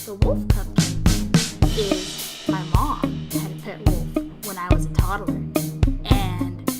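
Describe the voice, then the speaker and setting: A young woman with a hoarse, raspy voice, still recovering from being sick, rambling unscripted in a vlog and laughing often. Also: sits high, big pitch swings, slow. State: hoarse after illness. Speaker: video creator. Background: loud music.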